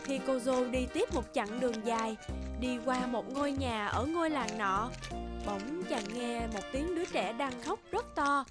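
A woman's voice: high voice, measured pace. A woman narrating a scripted children's folktale as a storyteller. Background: background music.

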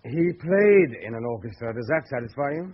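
Radio play: defensive sceptic writer, hesitant and prickly, animated pitch, dull recording. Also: male voice, a little fast, breathy edge. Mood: guarded. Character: defensive, sceptical male writer researching the supernatural. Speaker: actor in a radio drama. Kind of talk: radio drama acting.

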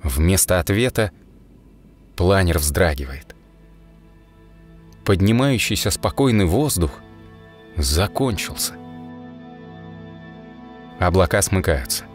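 A man reading a dramatic first-person story as an audiobook narrator, expressively and in short measured phrases. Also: low-pitched. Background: music.

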